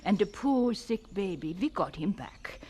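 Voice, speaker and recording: An actress playing a kindly German farm wife who speaks broken English with a German accent, in a radio drama. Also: female voice, medium pitch, very animated, unhurried, a little hiss or hum. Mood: gentle, proud. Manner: broken English, warm and fussing. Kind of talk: 1940s radio drama acting.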